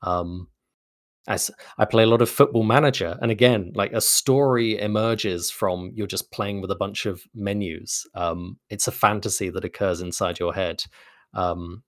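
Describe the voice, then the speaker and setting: A man's voice: on the low side, fairly even intonation, normal speed, dry recording, clean studio sound. A man speaking as an interview guest, articulate and explanatory in a thoughtful conversational style. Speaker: interview guest, game narrative designer.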